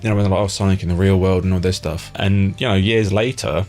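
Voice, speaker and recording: A man's voice: low voice, steady tone, fast, darkish tone, faint music behind. A man hosting a casual interview, chatting in an informal, blunt way with loose, sweary phrasing. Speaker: interviewer, host. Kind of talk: casual chat.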